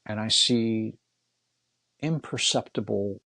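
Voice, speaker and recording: An older man with a gravelly, husky voice, telling personal stories casually as a guest, in a reflective way with pauses between short phrases. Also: on the low side, pushed and bright, no echo. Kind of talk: casual storytelling in conversation.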